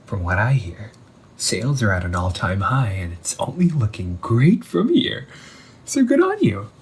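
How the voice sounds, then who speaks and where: A man playing an undead older brother returned from the sea, talking in a hushed, halting, teasing way in an audio roleplay. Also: on the low side, huge pitch swings. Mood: teasing admiration. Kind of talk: audio roleplay monologue to the listener.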